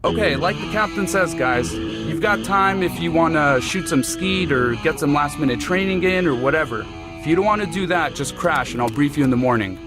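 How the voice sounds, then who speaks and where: Male actor as easygoing soldier, video game, casual orders, slightly high voice, guitar backing. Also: animated, normal speed, natural voice. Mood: relaxed. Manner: casual, informal. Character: easygoing male military squad leader. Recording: guitar music behind.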